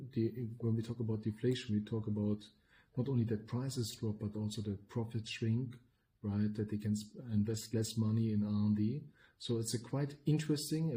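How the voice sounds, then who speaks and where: Middle-aged german guy explaining stuff on a podcast, mellow, keeps saying right. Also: mid-range voice, rough recording. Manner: measured, explanatory, with frequent "right?" tags. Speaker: podcast co-host, business consultant.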